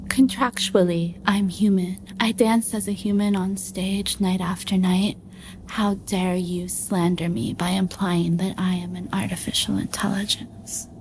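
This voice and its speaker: A woman playing a proud, flustered star dancer in a radio play, indignant and halting, repeating herself. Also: medium pitch, little pitch movement, a little hiss or hum, natural voice. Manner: halting, repetitive, theatrical.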